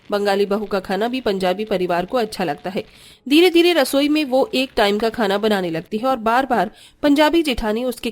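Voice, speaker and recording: A woman's voice: fairly high pitch, a little mellow. A woman storyteller delivering scripted narration for a cartoon story.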